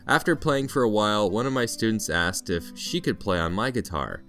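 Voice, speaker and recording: A man's voice: lowish voice, expressive, normal speed, fairly bright tone, soft background music. A Canadian man narrating a scripted vlog in a playful storytelling way with mock-dramatic flourishes. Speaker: vlog narrator, teacher in Japan.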